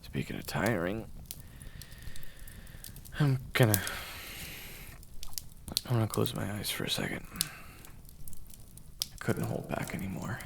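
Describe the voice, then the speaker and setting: Gravelly man in a lively audio roleplay. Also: lowish voice, slow pace, long pauses, slight background noise. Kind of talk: first-person audio roleplay, narrating and speaking to the listener. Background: video game soundtrack music.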